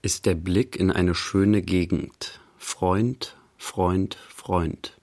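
Slow, low-voiced male narrator. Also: fairly even intonation, creaky, darkish tone, heavily acted. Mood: measured, detached. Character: detached narrator announcing scenes in sparse poetic fragments. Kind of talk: radio play narration, fragmentary lyrical text.

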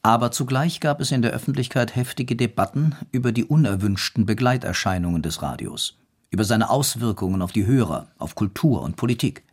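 A man's voice: mid-range voice, fairly quick, breathy edge. A man reading scripted narration for a radio documentary as its narrator.

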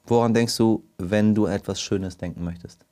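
A man hosting a casual interview, bantering and teasing playfully and quick to interject with questions. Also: lowish voice, steady tone, warm tone. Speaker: interviewer, host.

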